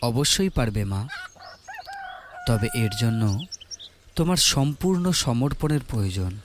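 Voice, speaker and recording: A man voicing a calm, wise ascetic monk who gives gentle, fatherly counsel in a slow, measured way. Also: mid-range voice, slight background noise, close to an everyday voice. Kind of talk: audio story dialogue.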